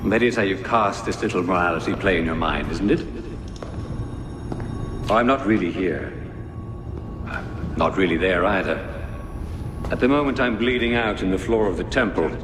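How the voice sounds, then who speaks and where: An actor playing a calm, older zealot villain in a video game, speaking in measured, grandiose oratory. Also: male voice, low voice, close to an everyday voice. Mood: mocking, calm. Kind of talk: dramatic video game cutscene acting. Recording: echoey long reverb, loud background noise.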